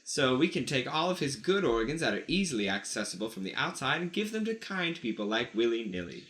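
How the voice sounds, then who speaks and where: A man's voice: mid-range voice, big pitch swings, brisk, fairly bright tone. A man voicing a zealous, eccentric female mad scientist, theatrical and grandly matter-of-fact, in an improvised comedy radio play. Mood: brisk, eager.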